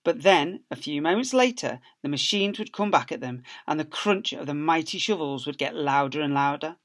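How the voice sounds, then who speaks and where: A man's voice: on the high side, expressive, normal speed, a bit bright. A man, an infant school teacher, reading a story expressively to young children.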